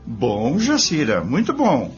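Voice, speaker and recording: A man with a Brazilian accent playing an earnest, courteous spiritist group leader in a radio drama, formal and deliberate. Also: medium pitch, wildly swooping pitch. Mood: solemn, approving. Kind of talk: amateur radio drama acting. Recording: dull lo-fi, music behind.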